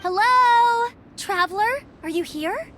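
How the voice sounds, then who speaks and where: A woman's voice: very high voice, animated, slow pace, sharp tone, slight background noise, exaggerated voice. A woman playing a friendly young female scout in a video game. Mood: friendly, upbeat. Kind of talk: scripted video game dialogue.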